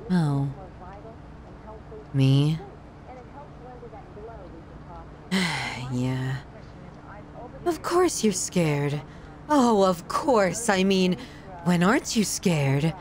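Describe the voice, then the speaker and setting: A woman's voice: fairly low pitch, sing-song, drawn-out words, long pauses, darkish tone, heavily acted. A woman playing a sadistic, possessive yandere in an ASMR horror roleplay, theatrical and sighing, shifting between sweetness and scorn. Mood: bitter, accusing.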